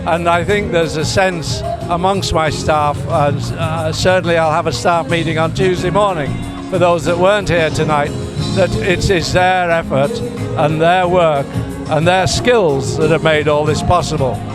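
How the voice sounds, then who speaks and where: A man speaks off the cuff in an interview, halting and measured. His voice is on the high side, and loud music plays behind him. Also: fairly even intonation. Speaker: award-winning business representative. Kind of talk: unscripted interview remarks.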